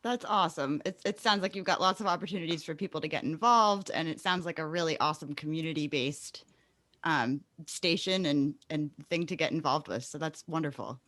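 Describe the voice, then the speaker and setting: A woman's voice: low voice, fairly quick, fairly bright tone, no echo. A woman hosting a casual podcast interview, informal and encouraging in the way she talks.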